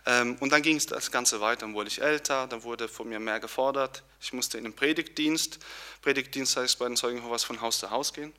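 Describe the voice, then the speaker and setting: A young man from Poland, giving an earnest personal testimony with pauses and restarts. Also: mid-range voice, bright, forceful tone. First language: Polish. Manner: earnest, searching, with fillers and restarts.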